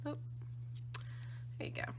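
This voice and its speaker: A woman with a slightly croaky voice from allergies, chatting casually and gushing with enthusiasm as she unboxes craft supplies. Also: slow pace, slight background noise. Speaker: scrapbooking design team member.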